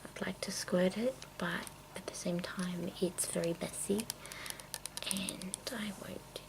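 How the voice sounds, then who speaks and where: A woman murmurs through a rambling ASMR show-and-tell, mostly in a breathy whisper. When her voice comes through it is crackly with fry and a little low. She moves very slowly and haltingly from one thought to the next. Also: fairly even intonation. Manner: hushed, unhurried, halting. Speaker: ASMR video creator.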